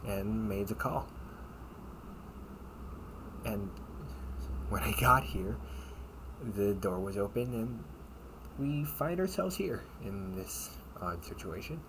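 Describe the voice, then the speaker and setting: Through clear background noise comes a man playing a lowish-voiced, protective older brother in an audio roleplay. He speaks slowly and haltingly, a sardonic captor who mocks and threatens in a casual way, slipping into breathy asides. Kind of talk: amateur audio roleplay acting, one-sided dialogue. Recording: noisy amateur home recording.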